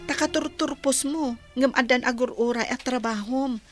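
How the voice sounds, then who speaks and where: A woman's voice: high-pitched, normal speed, soft background music. A woman acting a caring, earnest and inquisitive young woman in an Ilocano radio drama. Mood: admiring, concerned.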